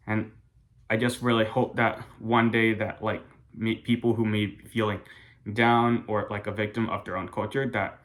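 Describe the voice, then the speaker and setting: A young man from the United States giving a prepared class speech in an earnest, careful, halting way. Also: on the low side, fairly bright tone. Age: young adult. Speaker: college student.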